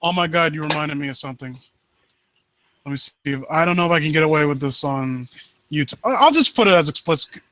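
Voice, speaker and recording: A man's voice: fairly high pitch, lively intonation, fast talker, pushed and bright, rough recording. A man hosting a live stream in casual chat, blunt and given to swearing. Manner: blunt, profane. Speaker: host of a live critique stream.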